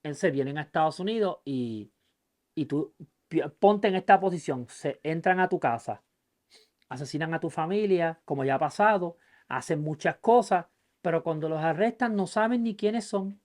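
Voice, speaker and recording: A man's voice: fairly high pitch, lively intonation, moderate pace, a little mellow. A man hosting a podcast, talking casually about politics with a guest, speaking emphatically and acting out quoted dialogue in his stories. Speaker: podcast host.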